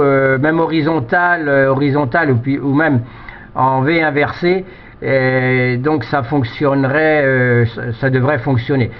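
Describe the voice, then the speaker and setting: A man chatting casually as an amateur radio operator, giving technical advice and often repeating words as he hesitates. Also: mid-range voice. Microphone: two-way radio microphone. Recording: dull, lo-fi radio transmission.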